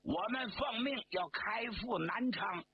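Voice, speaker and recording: A man's voice: unhurried, slightly rough, heavily acted. A man voicing an alert, stern battalion commander on guard, calling out formally in a told war story. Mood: stern challenge. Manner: high, barked, formal shouts. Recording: dull lo-fi sound, faint noise.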